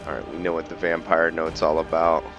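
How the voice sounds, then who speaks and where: A man giving casual gameplay commentary, thinking aloud in halting fragments as he hosts a gaming video. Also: a bass voice, monotone, muffled recording. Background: game music and sound effects.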